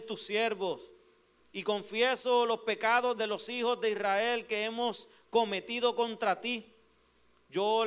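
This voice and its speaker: Fervent high-voiced Puerto Rican pastor. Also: male voice, fairly bright tone, clean tone. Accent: Puerto Rican Spanish. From Puerto Rico. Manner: fervent preaching, frequent "gloria al Señor" refrains. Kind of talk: sermon. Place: church sanctuary at a pulpit. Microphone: handheld mic.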